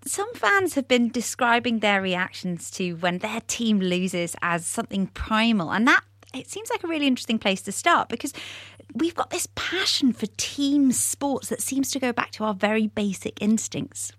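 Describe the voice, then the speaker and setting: A woman hosting a radio news podcast, presenting in a bright, conversational way from a studio. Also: on the high side, very animated, moderate pace, no room sound. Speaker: podcast host.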